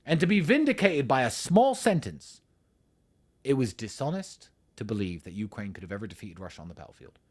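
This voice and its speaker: A man hosting a live stream, ranting to his audience in an angry, profane and emphatic way, swinging between shouting and muttering. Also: medium pitch, big pitch swings, a little fast. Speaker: livestream host.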